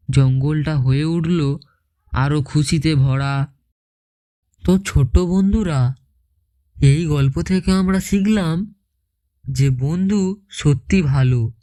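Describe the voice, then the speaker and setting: A man narrating a scripted children's story in a measured way, as if for small children. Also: on the high side, dark tone.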